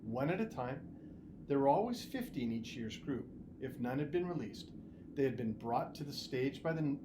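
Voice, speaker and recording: A man reads a story aloud with very lively, swooping pitch at a medium register. His phrasing is emphatic and deliberate, broken by short pauses. There is clear noise behind him. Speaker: school superintendent reading aloud. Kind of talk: expressive audiobook-style story reading. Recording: noticeable background noise and ambience.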